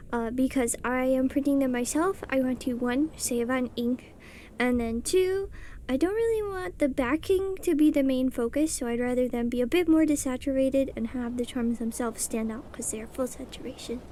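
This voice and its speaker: A young woman with a soft voice chatting casually and rambling while she draws on a livestream. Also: sits high, a little hiss or hum. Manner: chatty, rambling, self-deprecating, mutters settings under her breath. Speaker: streamer. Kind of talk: casual chat while drawing on stream. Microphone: streaming mic.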